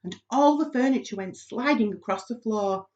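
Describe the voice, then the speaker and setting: A woman reading a children's story aloud in an expressive storytelling style. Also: on the high side, moderate pace, highs cut off. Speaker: reader, primary school staff member.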